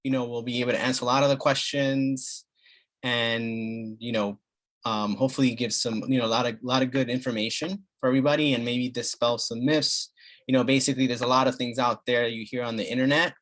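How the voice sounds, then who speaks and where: Man, family doctor from the Dominican Republic, mid pitch, halting delivery full of fillers, easy community health talk. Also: fairly even intonation, a bit bright. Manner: halting, many fillers and restarts.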